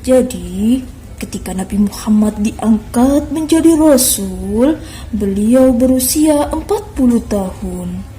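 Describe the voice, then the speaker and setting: A woman's voice: fairly high pitch, mellow. A woman voicing Loli, a little girl who patiently explains a story to her friend in a children's skit. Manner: explains slowly and carefully, like a lesson. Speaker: kindergarten teacher.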